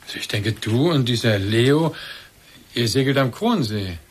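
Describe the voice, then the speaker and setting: An actor in a German radio play voicing a suspicious husband who probes and insinuates in an accusing manner. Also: male voice, mid-range voice, expressive, moderate pace, husky. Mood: probing. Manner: probing, accusing.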